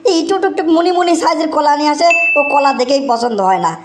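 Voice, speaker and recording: High, fast, whiny cartoon village banana thief. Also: expressive. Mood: grumbling, scheming. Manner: exclamatory, whining, repetitive. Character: Keltu, whiny greedy banana-stealing young village man, comic. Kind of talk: comic cartoon dubbing in village dialect. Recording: roomy echo, faint background noise.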